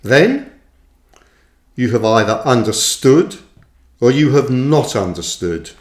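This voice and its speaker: A man reading his own reflective book aloud like an audiobook, with deliberate, measured phrasing and pauses. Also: mid-range voice, sing-song. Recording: roomy, echoing voice recording.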